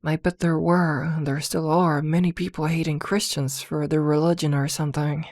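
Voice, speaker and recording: A woman playing a possessive, protective witch girlfriend in an intimate ASMR roleplay, with a soft, coaxing murmur. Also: deep voice, little pitch movement, normal speed, dry recording, studio quality. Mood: hushed, bitter.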